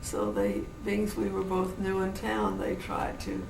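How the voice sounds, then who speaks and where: An elderly woman from New Orleans with a general American accent, slowly reminiscing in an interview with many restarts. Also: medium pitch, very animated, creaky. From New Orleans, USA. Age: older. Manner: slow, meandering, with many restarts. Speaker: interviewee, great-grandmother. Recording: noisy, roomy home recording from a distance.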